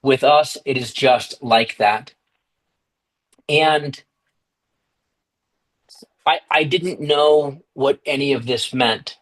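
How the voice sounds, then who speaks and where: An American man talks steadily and earnestly over a video call, sharing at a recovery meeting. His voice sits at an ordinary pitch and his pace is even. He restarts a phrase now and then. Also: extremely slow, pauses often, poor audio. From United States. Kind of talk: personal recovery talk.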